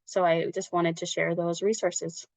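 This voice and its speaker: Woman wrapping up a zoom talk, lowish voice, muffled dead-dry sound. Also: little pitch movement, unhurried, dry recording. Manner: warm, encouraging, fillers. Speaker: workshop speaker. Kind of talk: online workshop presentation. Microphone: video call. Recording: dull dry lo-fi audio.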